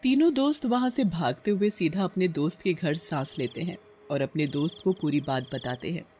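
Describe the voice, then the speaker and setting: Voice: medium pitch, animated, moderate pace, mellow, slightly rough. A narrator telling a scripted horror cartoon story.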